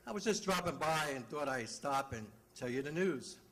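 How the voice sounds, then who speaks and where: A man's voice: on the high side, expressive, normal speed, fairly bright tone. An actor playing a blunt, plain-spoken police detective, a teasing man in 1940s radio drama style. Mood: matter-of-fact.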